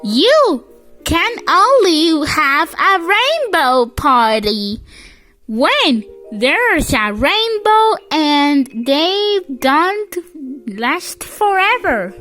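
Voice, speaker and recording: A very high, childlike voice reads a picture book aloud at a slow pace. The delivery is halting, with stumbles and repeated words, and the pitch moves up and down in a lively way. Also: soft background music. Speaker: storybook reader.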